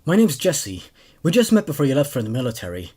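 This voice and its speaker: A man voicing a frantic male acquaintance in a horror story, pleading urgently in a hurried warning. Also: mid-range voice, huge pitch swings, darkish tone, slightly rough. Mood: urgent, alarmed.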